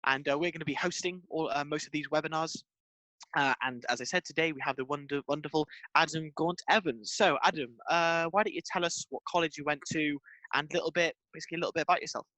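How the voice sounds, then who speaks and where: A man's voice: a little high, steady tone, pushed and bright, no room sound, rough recording. A man hosting a webinar over a video call, chatty and full of fillers. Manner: quick, chatty, with many fillers. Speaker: webinar host.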